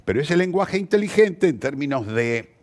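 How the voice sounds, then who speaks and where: Animated older Argentine man, higher-pitched voice. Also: moderate pace. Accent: Rioplatense Argentine Spanish. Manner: didactic, emphatic, rhetorical questions. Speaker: interview guest, theatre practitioner. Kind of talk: reflective explanatory conversation.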